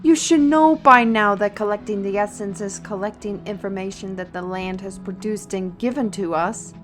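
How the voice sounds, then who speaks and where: A woman's voice: mid-range voice, normal speed, over music, natural voice. A woman playing a wry, learned artisan steward in a fantasy audio roleplay, talking conversationally and instructively to the listener.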